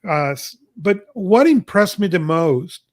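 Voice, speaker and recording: A man presents gadget news on a podcast, eager and a little halting. His English is slightly broken. His voice sits fairly high for a man. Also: expressive. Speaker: podcast co-host. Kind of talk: casual tech news chat.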